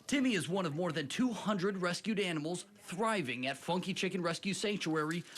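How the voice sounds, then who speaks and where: A woman's voice: lowish voice, animated, fairly quick, slight background noise. American woman narrating a news feature. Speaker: news reporter.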